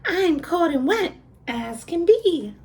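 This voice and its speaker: A woman voicing a small excitable pig in a picture book, squeaky and quick. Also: slight background noise, heavily acted. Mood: worried.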